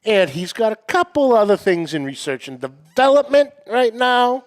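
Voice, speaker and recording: A man with a somewhat high voice hosts a casual live show. He chats loosely and presents with an announcer's hype, keeping the banter informal. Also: sing-song, normal speed. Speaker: show host. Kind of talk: casual livestream banter and guest introduction.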